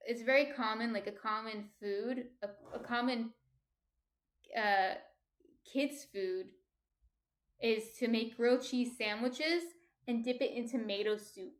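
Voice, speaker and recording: Soft-spoken woman with a New Jersey accent. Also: fairly high pitch, measured pace. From New Jersey, USA. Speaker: streamer. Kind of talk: casual chat reading viewer comments.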